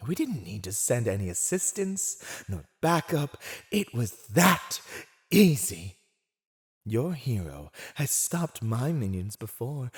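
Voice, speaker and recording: A man playing a silver-tongued villain warlord who taunts a captive in a theatrical, mocking, teasing way for an ASMR roleplay. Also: on the high side. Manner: teasing, mocking, theatrical, drawn-out delivery with chuckles.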